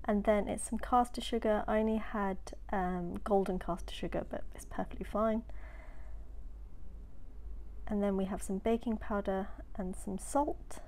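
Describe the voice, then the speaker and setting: A woman narrating a home baking video in a chatty, relaxed way, sounding a little nasal from a cold, sometimes dropping into soft whispered asides. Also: mid-range voice, a little hiss or hum. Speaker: home baker. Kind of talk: casual baking voice-over.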